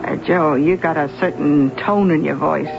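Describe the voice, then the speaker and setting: Wisecracking high-voiced bartender, teasing, old radio drama. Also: male voice, fairly even intonation, moderate pace, gravelly. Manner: teasing, jokey, streetwise. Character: sly wisecracking male bartender Mums. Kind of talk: 1970s radio drama acting. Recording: dull lo-fi radio broadcast.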